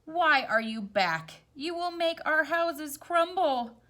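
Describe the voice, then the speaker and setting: A woman's voice: sits high, expressive, slow, bright, forceful tone, voice pushed up, full character voice. A woman voicing a snobbish, bossy male square in a children's storybook, firm and pompous. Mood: grumbling.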